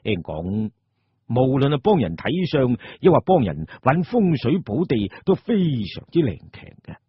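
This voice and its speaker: A very husky man with a Cantonese accent tells a story in a theatrical, suspenseful way. His pitch swings widely around deliberate pauses. The sound is dry and somewhat muffled. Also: sing-song, dry recording. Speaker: storyteller, narrator. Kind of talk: dramatic scripted audio-drama narration. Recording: muffled, dull audio.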